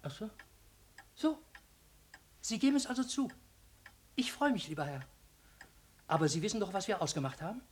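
An actor playing a frail, eccentric old shopkeeper, excitable and shrill, with stammering repetitions in a theatrical radio play. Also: male voice, moderate pace, pauses often. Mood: gloating. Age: older. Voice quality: shrill, screeching, cackling. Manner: stammering repetitions, mock-polite address.

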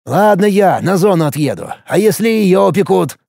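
A man's voice: high-pitched, lively intonation, moderate pace, gravelly, a little mellow, no room sound, clean studio sound, voice pushed up, exaggerated voice. A man voicing a jealous, menacing older brother who schemes desperately and pushes insistently in an audiobook story. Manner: pressuring, insistent.

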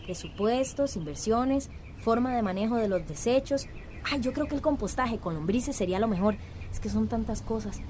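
A woman's voice: fairly high pitch, animated, normal speed, a little mellow, slight background noise, close to an everyday voice. A woman playing an enthusiastic community recycling activist in a radio drama, chatty and colloquial. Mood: planning, pensive.

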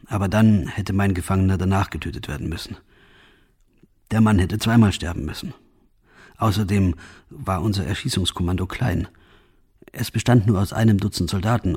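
A deep, raspy male voice as a soldier tormented by guilt. He confesses gravely in a first-person radio play monologue, as a reluctant member of a firing squad. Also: darkish tone.

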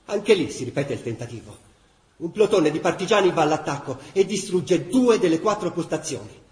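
Male actor, sober wartime chronicler, scripted dramatic narration, moderately high pitch, strong echo. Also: animated, brisk, darkish tone, lightly acted. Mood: grave, factual. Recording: very roomy echo.

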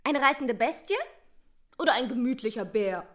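A woman's voice: fairly high pitch, expressive, moderate pace. A woman playing a curious, teasing young girlfriend in a German radio play.

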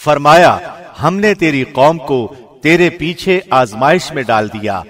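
Man reading scripture translation, measured and formal. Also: a little high, lively intonation. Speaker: professional radio presenter reading scripture translation.

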